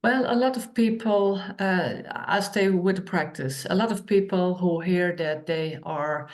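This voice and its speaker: A woman, co-hosting an online workshop and telling stories in plain, unhurried phrases with many pauses. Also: lowish voice, darkish tone. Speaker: workshop co-host and author.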